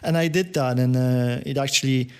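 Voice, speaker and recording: Halting man. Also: medium pitch, steady tone, clean tone. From Poland. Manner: halting, many fillers and restarts. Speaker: podcast guest, business owner. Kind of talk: conversational interview answers.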